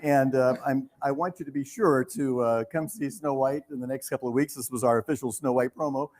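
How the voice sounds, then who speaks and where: A man speaking off the cuff to a theater audience in a halting, jokey way. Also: medium pitch, fairly even intonation, darkish tone. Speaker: theater leader speaking on stage. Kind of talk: unscripted live remarks to an audience. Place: theater stage. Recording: noisy live hall with audience.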